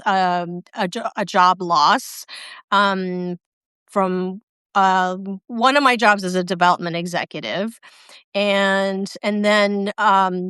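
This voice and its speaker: Woman, 50s, interview guest, dry close sound, thoughtful and unhurried. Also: fairly low pitch, a bit bright, clean tone, no echo. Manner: reflective, many ums and restarts. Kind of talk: casual interview chat. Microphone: video call.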